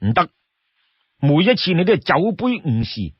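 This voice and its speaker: A man's voice: fairly high pitch, very animated, moderate pace, husky, dry recording, heavily acted. A man voicing a shrewd, exasperated younger outlaw in a storybook tale, firmly admonishing his companion. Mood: stern. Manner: firm, admonishing, laying down rules. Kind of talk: Cantonese storytelling character voice. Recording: dull, close dry studio sound.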